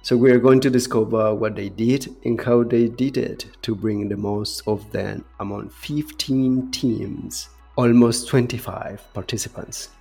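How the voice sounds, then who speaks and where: Male podcast host, husky, fry-laden voice, interview style, faint background music. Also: mid-range voice, fairly even intonation, measured pace, few pauses, dark tone.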